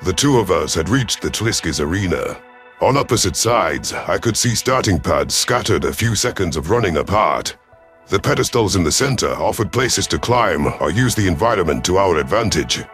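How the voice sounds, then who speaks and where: A man reading dramatic first-person science fiction narration in a measured manner. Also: low voice, animated, a bit bright, faint music behind. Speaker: audio story narrator.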